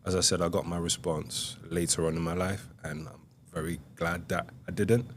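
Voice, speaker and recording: A young man from Congo, a podcast guest, telling his life story in a soft, hesitant way with many pauses. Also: low-pitched, steady tone, slight background noise. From Republic of Congo. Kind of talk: reflective interview storytelling.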